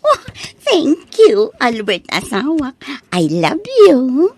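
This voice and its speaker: A woman's voice: high voice, huge pitch swings, slow pace, darkish tone, slightly rough, full character voice. A woman playing a vain, money-minded young village bride-to-be in a comic radio drama, with an Ilocano Filipino accent. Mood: sweetly affectionate. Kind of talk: comedic radio drama acting.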